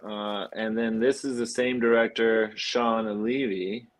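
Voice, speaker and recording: A man hosting a film podcast in a casual, halting way, thinking aloud as he goes. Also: on the low side, steady tone.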